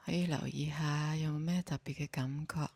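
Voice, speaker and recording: A woman with a Hong Kong Cantonese accent guides a meditation in a soft, breathy voice, speaking calmly and giving gentle instructions. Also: sits low, no room sound. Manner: slow, calm, gently instructing.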